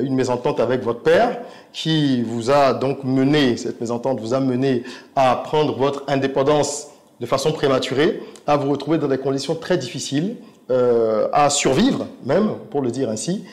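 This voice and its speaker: A male interviewer asks long, carefully built questions in an articulate manner. His voice sits at a middle pitch for a man. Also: a little mellow. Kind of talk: interview conversation.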